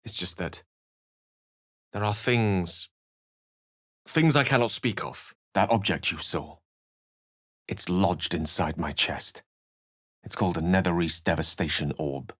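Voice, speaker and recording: Video game wizard: low-pitched, eloquent and courteous, bookish phrasing with a melancholy streak. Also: male voice, a little slow, long pauses, fairly bright tone. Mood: regretful, confiding. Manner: articulate, bookish, theatrical phrasing. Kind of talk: video game character voice lines.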